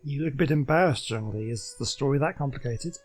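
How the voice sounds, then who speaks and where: A man's voice: mid-range voice, fairly quick, a little hiss or hum. A man hosting a gaming video, giving casual let's play commentary and thinking aloud.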